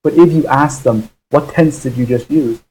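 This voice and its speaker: A man from the UK teaching a live online English lesson, explaining grammar in a relaxed way with many ums and uhs, on muffled livestream audio. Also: mid-range voice, moderate pace, husky.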